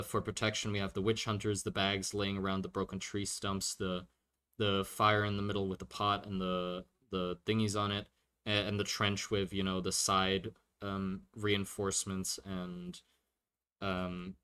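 A man's voice: low voice, fairly bright tone, clear, resonant tone. A man chatting casually on a livestream while he draws, thinking aloud and answering viewer questions with many restarts. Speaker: streamer drawing a fantasy world.

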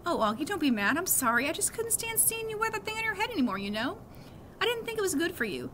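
Lady doing a loving storybook dad, coaxing and emphatic, bright voice, little room noise. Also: very high-pitched, very animated, fast, pitched above the performer's own voice, full character voice. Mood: pleading. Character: affectionate easygoing father of a young boy.

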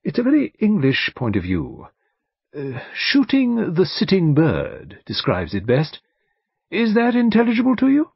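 A man voicing a thin, precise English barrister in an audiobook, quietly persistent, reflective and dry. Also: high voice, huge pitch swings, a little slow, creaky, slightly rough, no echo, dull audio, voice pushed up, full character voice. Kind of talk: audiobook character dialogue.

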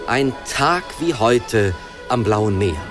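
An actor playing a gushing, brash charmer with an effusive, halting manner in a radio play. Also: male voice, medium pitch, lively intonation, over music. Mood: dreamy recital. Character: gushing, flattering, brash showman courting a nymph. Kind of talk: German radio play acting.

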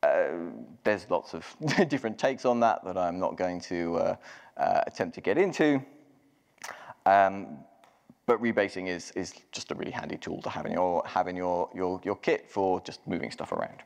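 Low-voiced man presenting in a hall. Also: expressive, moderate pace, gravelly, darkish tone. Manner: casual, many ums and restarts. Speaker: conference speaker. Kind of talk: live technical walkthrough. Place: large conference hall. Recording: long hall reverb.

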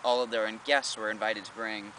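A man's voice: lowish voice, fairly even intonation, moderate pace, background noise. A young man co-hosting a travel vlog outdoors on a lawn, casually adding facts and figures, picked up by a camera a few meters away. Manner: informative, adds facts and figures. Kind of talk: casual on-location chat. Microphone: camera mic from a few meters away.

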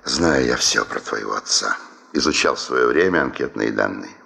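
An actor playing an authoritative, sober veteran boss in a 1970s Soviet radio play, speaking in a terse, blunt, commanding way. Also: male voice, a bass voice, animated, moderate pace, gravelly, sharp tone, exaggerated voice. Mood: blunt, knowing.

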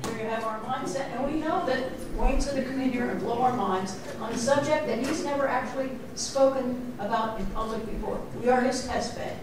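A middle-aged woman introduces a speaker with brisk, teasing humor, her voice a little high. She sounds distant from the mic, in a very echoey room with noticeable background noise. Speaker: board member introducing the speaker. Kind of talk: event introduction. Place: indoor lecture room with audience. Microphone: handheld microphone.

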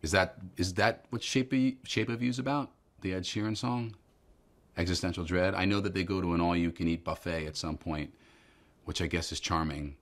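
رجل بصوت عميق جدا ولهجة نيويوركية. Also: male voice, low-pitched, moderate pace, fairly bright tone. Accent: New York. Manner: hesitant, rambling with restarts. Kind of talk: comedic scripted banter. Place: living room, indoors.

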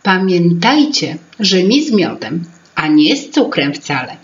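A woman's voice: on the low side, big pitch swings, a little slow, muffled recording. A woman voicing a proud, bustling female fairy-tale sprite who keeps people's memories. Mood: brisk, teasing. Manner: self-important, bustling, musing.

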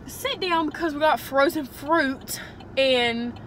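Young woman, car vlogger, rambling chat, slightly high voice, faint road noise. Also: takes it slowly, a bit bright. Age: young adult. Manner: chatty, rambling, many "um" and "like". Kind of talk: casual vlog chat. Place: inside a parked and moving car. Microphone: phone camera on the dashboard.

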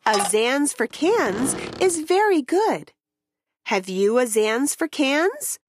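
A woman narrating a rhyming children's picture book in an expressive, deliberate storytelling way. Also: sits high, a little hiss or hum.

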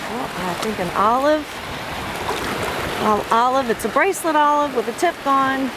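Very loud wind and water rush around a woman with a fairly high voice. She talks casually into a handheld camera outdoors at the sea, giving a running commentary. Her manner is excitable, full of exclamations and half addressed to herself. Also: moderate pace. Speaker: shell-collecting vlogger. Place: outdoors, wading in the sea at a beach. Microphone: handheld camera's own microphone.

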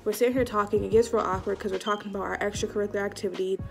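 A young woman telling a story to camera in a casual vlog, animated and slangy, acting out what people said, recorded in a small room on a camera at arm's length. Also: medium pitch, very fast, vocal fry, soft background music. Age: young adult. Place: small indoor room before a curtain. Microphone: webcam or phone at arm's length.

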